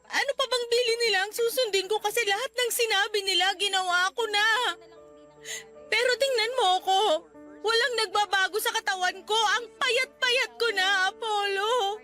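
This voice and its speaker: A woman's voice: very high voice, moderate pace, sharp tone, soft background music. A sobbing, despairing young woman. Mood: sobbing anguish. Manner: tearful, sobbing, pushing her partner away. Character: gravely ill young woman, despairing and bitter. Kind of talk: radio drama acting.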